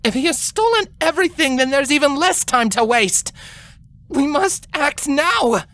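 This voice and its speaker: A hot-headed young alicorn princess, played by a woman narrator. Her voice is very high and swings widely, urgent and growling with defiance. It belongs to a dramatic fantasy storybook. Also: animated, a little slow, fairly bright tone. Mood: furious, urgent. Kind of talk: dramatic fan fiction reading.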